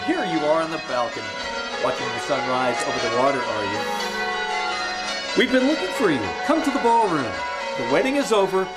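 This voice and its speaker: A man playing a courteous, excitable prince in a 1940s-style radio play, theatrical and exclamatory. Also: fairly high pitch, brisk, rough recording. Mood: delighted, courteous. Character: kindly young prince, excitable, doting and grandly courteous. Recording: live stage performance with loud music.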